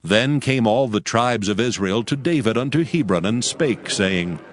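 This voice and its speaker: A man narrating a dramatized scripture audiobook in a solemn, measured storytelling manner. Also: mid-range voice, expressive, no room sound, studio quality.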